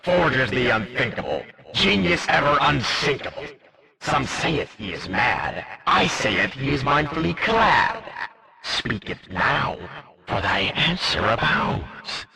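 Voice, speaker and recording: A man's voice: mid-range voice, big pitch swings, slow pace, gravelly, a crowd in the background, exaggerated voice, low-quality recording. A man voicing a jesty, centuries-old skeleton merchant for a game, speaking in theatrical mock-archaic language. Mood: ominous riddling. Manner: theatrical, archaic, exclamatory, riddling. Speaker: character voice for a game.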